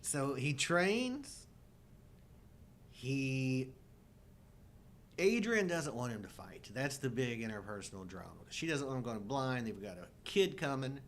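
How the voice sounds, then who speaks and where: A middle-aged man with a mid-pitched voice that moves around a lot in tone. He chats as a casual live-show co-host, wry and given to exaggeration. A low rumbling noise sits behind him. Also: lively intonation, unhurried, long pauses, a bit bright. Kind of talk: casual humorous movie-discussion chat.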